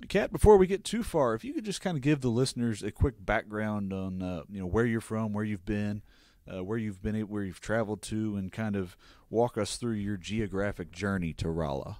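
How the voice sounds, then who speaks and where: Low-voiced upbeat college sports host. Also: male voice, moderate pace, darkish tone, dry recording, clean studio sound. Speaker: podcast host. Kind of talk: sports recap and interview.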